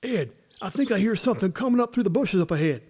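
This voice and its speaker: An actor playing an alert, wary country hunter in a radio drama. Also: male voice, high voice, animated, quick pace. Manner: hushed, urgent, folksy.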